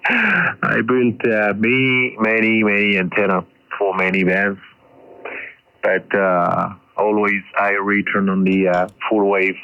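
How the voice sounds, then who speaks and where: A man with a non-native English accent talking technically as an amateur radio operator, halting and searching for words, heard over a radio transmission. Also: fairly low pitch, steady tone, muffled recording. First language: not English. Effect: ham radio transmission. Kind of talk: ham radio contact, technical talk. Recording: roomy, band-limited shortwave radio transmission.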